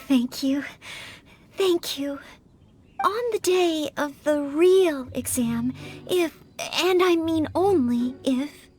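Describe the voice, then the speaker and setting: An actress playing a timid, polite young maid knight in a video game, speaking earnestly and hesitantly, eager to help. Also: female voice, high voice, slow, a little mellow. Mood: soft, grateful. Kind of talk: video game character acting.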